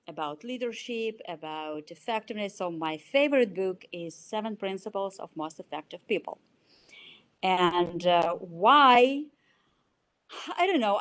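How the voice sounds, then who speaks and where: A woman in her forties from Belarus with a Russian accent, answering interview questions in a halting way with many fillers. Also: fairly high pitch, clear, resonant tone. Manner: halting, searching for words, frequent fillers. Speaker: CFO, interviewee.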